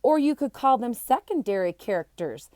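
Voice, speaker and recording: A woman voicing a kindly but firm schoolteacher in a storybook, polite and brisk. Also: on the high side, sing-song, warm tone, no room sound, clean studio sound, pitched above the performer's own voice.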